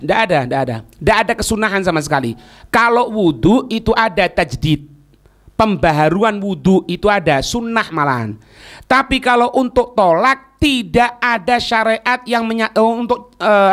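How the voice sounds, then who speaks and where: A man's voice: high-pitched, fairly bright tone. A man with a Javanese accent giving an informal Islamic lecture, explaining in colloquial, didactic style and often checking that listeners follow. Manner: colloquial, acting out short example quotes. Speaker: Islamic preacher teaching religious law.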